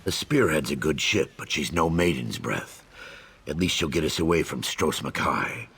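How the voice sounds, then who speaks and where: An actor playing a gruff, roguish sailor in a video game, with a deep, gravelly voice. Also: male voice, animated, normal speed, fairly bright tone, heavily acted. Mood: roguish, appraising. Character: gruff male sailor with a roguish, lusty streak. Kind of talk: video game character dialogue.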